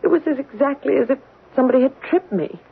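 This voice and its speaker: A frightened young wife and mother who sounds breathy and anxious. Her voice is on the higher side and comes through a thin, phone-like 1970s broadcast. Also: animated, fast, exaggerated voice. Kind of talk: 1970s radio drama acting.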